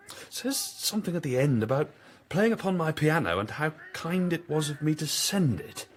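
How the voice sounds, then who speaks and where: A lightish-voiced young officer. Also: male voice, fairly high pitch, big pitch swings, moderate pace, a little hiss or hum. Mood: irritated, offhand. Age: young adult. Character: careless young English gentleman army captain. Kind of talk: period radio drama acting.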